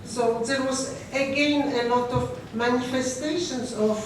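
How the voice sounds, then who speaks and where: Woman, 60s, Polish accent, native Polish speaker, slow, halting speech, very echoey distant hall, low rumble. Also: fairly even intonation. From Poland. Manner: halting, with frequent restarts and fillers. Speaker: guest speaker. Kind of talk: personal talk to an audience. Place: synagogue hall.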